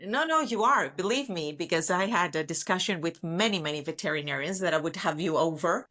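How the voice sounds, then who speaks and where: A woman's voice: on the low side, lively intonation, a little fast, a bit bright. A woman speaking as a podcast host, enthusiastic and complimentary as she presents and interviews. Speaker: veterinary specialist. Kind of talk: scripted introduction and interview talk.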